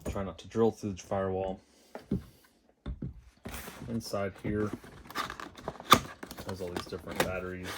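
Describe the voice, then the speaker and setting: Low-voiced man, casual DIY talk, noisy recording. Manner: unscripted, thinking aloud. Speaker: DIY vlogger installing a car radio. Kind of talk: casual how-to narration.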